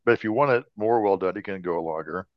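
Dude w a lowish voice giving casual tips, kinda muffled lo-fi audio. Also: male voice, quick pace, no echo. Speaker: podcast co-host. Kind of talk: casual chat, offering practical tips. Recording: slightly dull, lo-fi sound.

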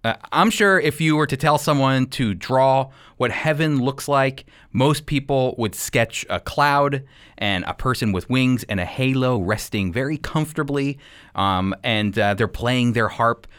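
A man hosting a radio program as a Bible teacher, speaking with deliberate, emphatic phrasing. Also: mid-range voice, fairly bright tone, clean tone.

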